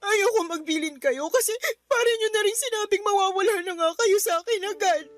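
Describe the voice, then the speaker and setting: A woman's voice: very high-pitched, fairly quick. A woman playing a devoted, hurt young granddaughter in a radio drama, pleading and emotional. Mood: tearful, pleading. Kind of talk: Tagalog radio drama acting. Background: background music.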